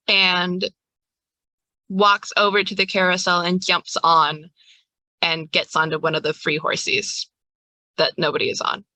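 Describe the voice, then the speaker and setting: A woman's voice: lowish voice, sharp tone, dry recording, poor audio. A woman game master improvising scene descriptions in a conversational way for a tabletop role-playing game.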